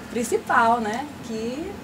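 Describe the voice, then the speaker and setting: A woman's voice: fairly high pitch, lively intonation, drawn-out words. A woman casually welcoming a group as the organizer of a course. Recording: clear background room noise.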